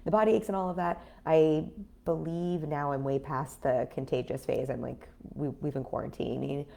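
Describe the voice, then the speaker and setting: A woman in her thirties talking casually on a livestream as a business coach, her voice raspy after an illness, chatty with many fillers. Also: deep voice, steady tone, normal speed, mellow. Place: home in a city apartment.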